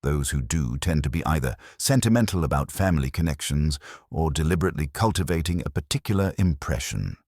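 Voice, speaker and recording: A man's voice: very deep voice, animated, a little fast, a little mellow, dry recording, clean studio sound, exaggerated voice. A man voicing an observant, long-serving draper who speaks formally and with knowing authority. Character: long-serving, observant male draper with old-fashioned views.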